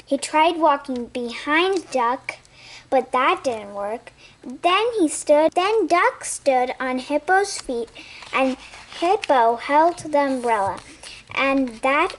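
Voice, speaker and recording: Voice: sits high, animated, takes it slowly. A child reading a picture story aloud expressively, with sound effects and asides to young listeners. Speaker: storybook reader.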